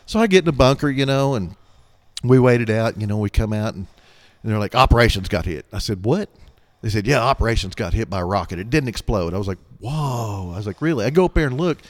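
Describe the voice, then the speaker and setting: A man's voice: lowish voice, animated, fast. A middle-aged man telling anecdotes casually as a podcast guest, nonchalant and acting out bits of dialogue. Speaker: podcast guest, air traffic controller.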